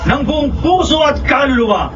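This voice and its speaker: A man with a Filipino accent playing a fervent, commanding faith healer preaching slowly in a radio drama. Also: very high-pitched, lively intonation. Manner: solemn, commanding, slow oratory. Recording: dull sound under loud music.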